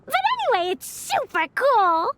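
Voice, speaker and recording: A woman voicing a friendly, curious little girl in an anime game, bubbly and excitable with a childlike voice. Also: extremely high pitch, normal speed, heavy background noise. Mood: cheerful. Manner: bubbly, exclamatory.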